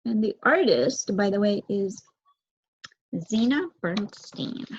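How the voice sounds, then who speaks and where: A young woman narrating a children's novel aloud in an expressive storytelling style, on a slightly dull, dry recording. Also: mid-range voice, slow pace, a little hiss or hum. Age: young adult. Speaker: student storybook reader.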